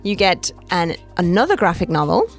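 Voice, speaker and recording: A woman's voice: on the low side, big pitch swings, moderate pace, faint music behind. A woman co-hosting a casual podcast as a producer, speaking in short interjections that often echo her partner. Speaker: podcast co-host, executive producer.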